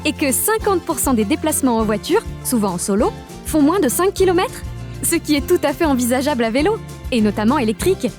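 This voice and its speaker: A woman from a regional nature park staff, giving upbeat scripted narration for an animated explainer. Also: high voice, over music. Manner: upbeat, informative, lists facts briskly.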